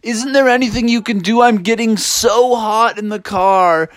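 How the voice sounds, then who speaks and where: An adult storyteller voicing a whiny, fidgety young boy frog who complains in drawn-out words. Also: mid-range voice, voice pushed up, close to an everyday voice. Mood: whiny, exasperated.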